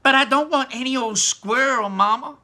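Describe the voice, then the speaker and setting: A man's voice: extremely high pitch, normal speed, bright, forceful tone, voice pushed up, full character voice. A man voicing a spoiled, demanding little girl in a whiny, bratty way while reading a storybook aloud. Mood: shouting.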